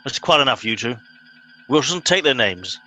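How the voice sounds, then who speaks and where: A man's voice: mid-range voice, sing-song, moderate pace, sharp tone, soft background music. A man with an English accent playing a pompous, impatient middle-aged Home Guard captain in a comedy radio play. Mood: exasperated. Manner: officious, impatient.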